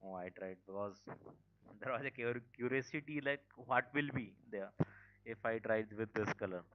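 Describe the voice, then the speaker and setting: A man's voice: fairly low pitch, normal speed, a little hiss or hum. A man teaching a live online security class, informal, full of fillers and "okay". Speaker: cybersecurity instructor. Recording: dull lo-fi call audio.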